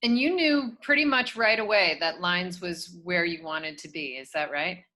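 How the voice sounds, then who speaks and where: A woman's voice: fairly low pitch, normal speed, pushed and bright. A woman interviewing a dancer, asking questions and reacting with encouraging enthusiasm.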